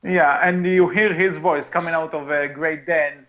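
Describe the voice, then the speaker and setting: High-voiced man on dull lo-fi audio. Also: steady tone, a bit bright. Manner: halting, rambling, repeats phrases. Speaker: podcast co-host. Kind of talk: casual podcast chat about animated films.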